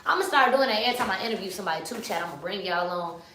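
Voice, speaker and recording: A woman's voice: medium pitch, lively intonation, racing, a bit bright, reverberant, a little hiss or hum. A young woman from Chicago in her twenties, hosting a live stream in loose, slangy casual chat that keeps turning to the chat. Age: 20s. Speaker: livestream host.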